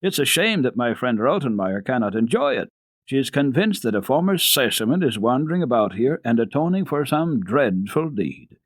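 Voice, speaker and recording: A man voicing a commanding, wry gentleman who is master of the house in a storybook reading. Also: mid-range voice, big pitch swings, moderate pace, no room sound.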